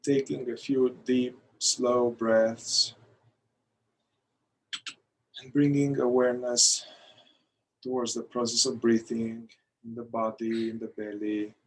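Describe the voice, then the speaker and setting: A man leading a guided meditation in a calm, gentle manner, pausing between short phrases. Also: medium pitch, poor audio. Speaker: meditation guide, somatic therapist.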